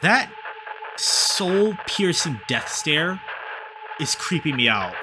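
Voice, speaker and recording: A man giving casual let's-play commentary as a streamer, thinking aloud in an animated, sweary way. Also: a little high, takes it slowly, background noise. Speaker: streamer reading a visual novel aloud. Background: game music.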